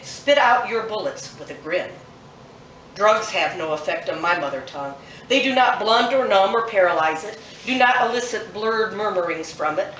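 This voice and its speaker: A woman's voice: medium pitch, a bit bright, a little hiss or hum. A woman poet performing her poem live at a bookshop event, with a rhythmic, emphatic delivery that builds through long lists. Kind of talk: live performance poetry reading. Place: bookshop at a poetry festival event. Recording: echoey room, mic set far off.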